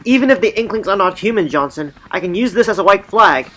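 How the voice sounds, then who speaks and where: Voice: medium pitch, lively intonation, fast talker, sharp tone, a little hiss or hum. An actor playing a resolute commanding police officer, speaking bluntly and decisively in an amateur audio drama. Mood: determined.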